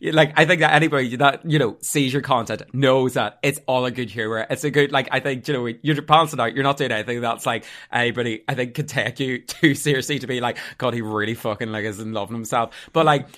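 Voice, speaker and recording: Rambling young podcast host. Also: male voice, medium pitch, steady tone, fast, hardly any pauses, a bit bright. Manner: rambling, lots of fillers, laughs easily. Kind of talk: casual podcast interview.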